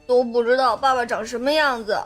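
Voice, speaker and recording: A woman's voice: a little high, fairly even intonation, a little fast, close to an everyday voice. A woman voicing a crafty four-year-old boy in an audio drama, who feigns innocence in a whiny, tearful, childish way. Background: faint music.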